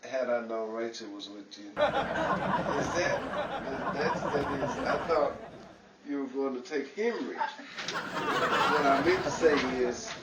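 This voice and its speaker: A man's voice: medium pitch, sing-song, slow pace, pauses often, over a noisy crowd. A man playing a bumbling, stammering husband with exaggerated delivery in a 1940s-style radio comedy. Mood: sheepish. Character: Dennis, bumbling sheepish husband, prone to hysterical panic. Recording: echoey, far from mic, very noisy.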